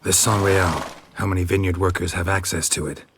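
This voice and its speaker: An actor playing a blunt, unruffled monster hunter in a video game, terse and deadpan. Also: male voice, deep voice, a little fast, noisy background. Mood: flat, probing. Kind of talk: dramatic video game acting.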